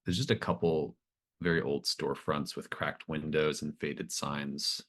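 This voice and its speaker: Male game master, extremely low voice, improvised scene-setting in halting phrases. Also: steady tone, a bit bright. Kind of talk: improvised tabletop game narration.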